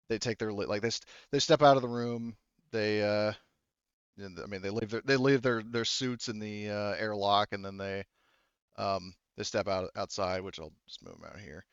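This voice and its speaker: A man with a low, smooth voice runs a tabletop roleplaying game. He narrates haltingly as game master, and the audio is dry and slightly muffled. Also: no room sound. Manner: halting, speaks for characters in own voice.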